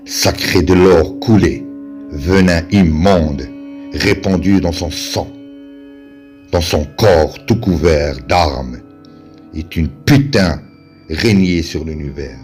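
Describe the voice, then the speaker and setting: Male poetry reciter, very low voice, solemn and declamatory, unhurried, over music. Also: a little mellow. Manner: slow, measured, declamatory delivery with pauses.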